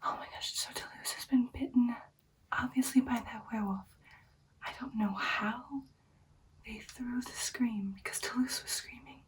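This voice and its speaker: Anxious, tender vampire girlfriend, acted by a woman for ASMR roleplay: higher-pitched whisper, soothing and halting, slightly away from the mic. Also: fairly even intonation, recorded from a distance, lightly acted, rough recording. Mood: alarmed, urgent. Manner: soft, hushed, halting, soothing personal attention. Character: caring, anxious vampire girlfriend tending the wounded.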